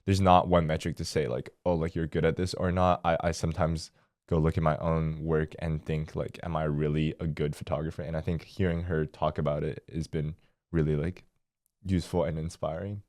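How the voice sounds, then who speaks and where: Deep-voiced teenage boy co-host. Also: level tone, a little fast, a little mellow, no room sound, clean studio sound. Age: teens. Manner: thoughtful, hesitant, many likes. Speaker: student podcast co-host. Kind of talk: casual podcast chat.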